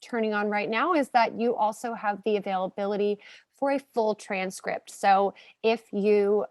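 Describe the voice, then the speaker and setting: A woman giving technical support instructions during a webinar in a relaxed, chatty way with many fillers. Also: medium pitch, moderate pace, no echo, clean studio sound. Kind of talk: casual instructions.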